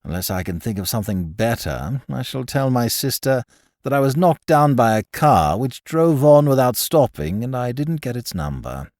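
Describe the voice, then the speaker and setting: A man voicing a languid, smug young man laid up in bed, who speaks in a quiet, slow, patronizing drawl. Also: medium pitch, lively intonation, no room sound, clean studio sound, natural voice.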